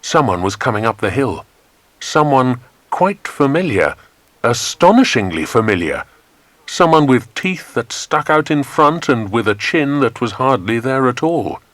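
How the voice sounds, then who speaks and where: A man with an English accent narrating a children's adventure story in a measured, dramatic storytelling manner. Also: medium pitch, sing-song, fairly bright tone, dry recording. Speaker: audiobook narrator.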